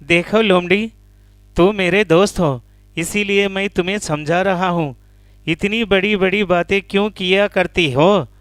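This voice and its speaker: A man voicing a clever, caring deer in an animal fable, speaking in a calm, advising manner. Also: sits high, a little slow, smooth, dry recording. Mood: patient, advising.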